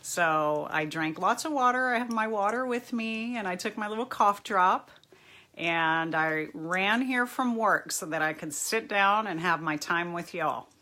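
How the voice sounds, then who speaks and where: A middle-aged woman therapist chatting casually on a live stream, her voice a little raspy from overuse, greeting viewers by name. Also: mid-range voice, measured pace, pushed and bright. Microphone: phone or webcam, live stream.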